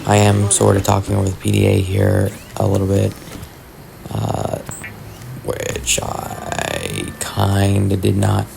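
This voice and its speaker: Man, lowish pitch, heavy vocal fry, raspy tired voice, hesitant casual gameplay commentary, low-key. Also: takes it slowly, noisy background. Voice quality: raspy, gravelly. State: tired voice after long talking, throat-clearing. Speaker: gaming video host. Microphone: voice-over recorded separately from the footage.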